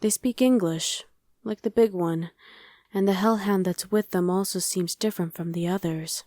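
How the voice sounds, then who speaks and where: A woman's voice: medium pitch, a little mellow, no echo, close to an everyday voice. A woman playing a friendly, streetwise young survivor of a demon invasion in an ASMR roleplay, talking casually and matter-of-factly to the listener with some hesitation. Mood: wry, explaining. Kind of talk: ASMR roleplay, conversational acting addressed to the listener.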